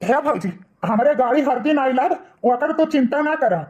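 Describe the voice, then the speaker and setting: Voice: high voice, lively intonation, normal speed, a little mellow. A performer voicing a bitter, outspoken young village man in a cartoon moral story, taunting and reproachful, with an Awadhi accent.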